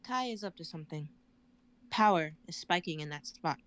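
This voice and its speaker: A man's voice: fairly high pitch, expressive, normal speed, a bit bright, pitched above the performer's own voice. A man voicing a smug young female fighter who wields magic sigils and teases her foes. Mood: wary. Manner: smug, teasing.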